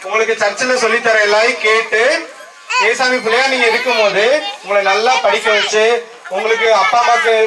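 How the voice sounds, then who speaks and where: A man's voice: sits high, flat delivery, fairly quick. A man telling a simple Bible story and leading prayer for children at a live program, in short phrases with questions. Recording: distant mic, noisy children's crowd.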